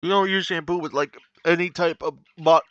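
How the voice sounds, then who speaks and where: A man talking straight into a close desk mic, reacting to a video in loose, blunt commentary. His voice is bright and forceful, and he keeps repeating himself. The sound is dry and a little dull, with no room around it. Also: on the high side, steady tone, fairly quick, clear, resonant tone, dry recording. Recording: slightly dull dry recording.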